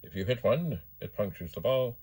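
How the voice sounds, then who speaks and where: A man's voice: lowish voice, animated, normal speed, mellow, slightly rough. A man hosting a hobby video, giving casual, rambling commentary as he demonstrates, with musing asides to himself. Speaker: host of a retro computer video channel.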